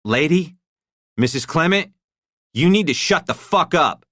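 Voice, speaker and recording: A man's voice: on the high side, moderate pace, fairly bright tone, no echo, clean studio sound, pitched above the performer's own voice, lightly acted. A man voicing a crude, agitated henchman who swears and grows threatening. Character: crude, distressed young male henchman, threatening.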